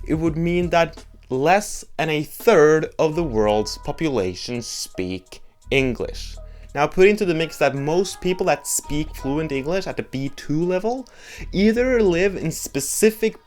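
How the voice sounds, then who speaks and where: A young man with a Norwegian accent, a native Norwegian speaker, giving a blunt, joking opinion monologue as a video host. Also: on the high side. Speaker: YouTube host.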